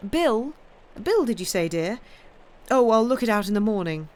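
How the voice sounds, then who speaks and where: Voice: mid-range voice, expressive, brisk, darkish tone, voice pushed up, lightly acted. A reader voicing a guarded young wife who answers in a light, airy, coaxing way. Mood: evasive.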